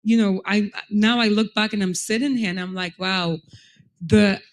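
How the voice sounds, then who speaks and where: A woman from Ghana moderates a conference panel conversation. She talks in a reflective, conversational way, sprinkling in fillers as she thinks aloud. Her voice sits at an ordinary pitch. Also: fairly even intonation, normal speed.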